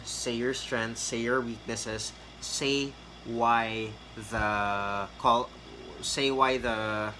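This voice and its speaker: Chatty man with a bright voice. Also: mid-range voice, a little hiss or hum. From Philippines. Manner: chatty, rambling with fillers and restarts. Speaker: call center trainer. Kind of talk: casual tutorial talk to camera.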